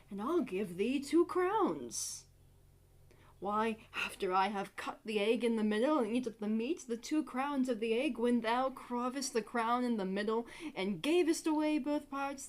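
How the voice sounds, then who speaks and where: A woman acts out a cheeky court jester from classical theatre, mocking and full of riddles. The voice sits fairly high, with slow, teasing, theatrical delivery. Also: close to an everyday voice. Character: impudent court fool, witty, mocking, riddling, needling an old master. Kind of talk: Shakespearean stage monologue.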